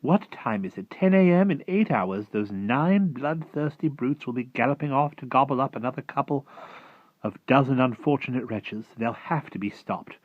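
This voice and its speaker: A man voicing a composed, principled storybook Queen in a regal, firm, measured manner. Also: medium pitch, no echo, narrow, tinny audio, voice pushed up, close to an everyday voice. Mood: commanding, urgent.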